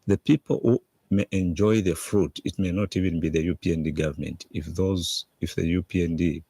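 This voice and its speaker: A Zambian man talks off the cuff in a deep, creaky voice. The tone is husky and dark, like a commentator explaining his point in a news clip. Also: fairly even intonation, fairly quick, dry recording. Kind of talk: unscripted spoken explanation.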